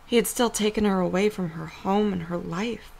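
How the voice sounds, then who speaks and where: A woman's voice: mid-range voice, normal speed, vocal fry, a little mellow, poor audio. A woman narrator reading a scripted story for an audio drama.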